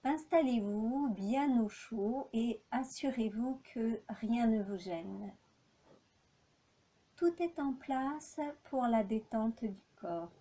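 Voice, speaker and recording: A woman leading a guided yoga relaxation as an instructor, speaking calmly and in measured phrases with long pauses. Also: on the high side, low-quality recording.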